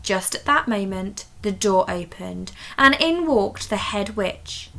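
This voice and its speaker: Bright-voiced woman reading slowly to children. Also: medium pitch, creaky. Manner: expressive, dramatic, addresses young listeners with questions. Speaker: story reader at a primary school. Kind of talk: children's story read-aloud.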